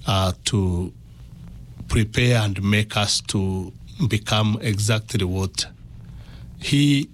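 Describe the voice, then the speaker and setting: A man with a Kenyan accent giving a personal testimony as an interview guest, in halting, deliberate phrases. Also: on the low side, a little hiss or hum.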